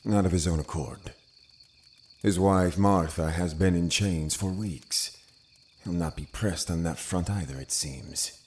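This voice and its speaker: An actor playing a stern, pious older Puritan uncle in a period audio drama, grave and formal. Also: male voice, deep voice, measured pace, a little mellow. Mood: grave, scornful.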